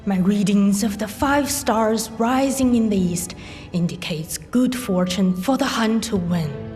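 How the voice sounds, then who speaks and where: A woman playing a calm imperial astrologer in an audio drama, deliberate and portentous in manner. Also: medium pitch, natural voice. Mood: solemn, portentous. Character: calm, solemn female imperial court astrologer. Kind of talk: amateur audio drama acting. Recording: hall reverb with music behind.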